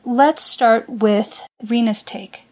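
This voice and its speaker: A woman hosting a podcast panel, introducing the topic and posing questions in a measured way. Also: a little high. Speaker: podcast host.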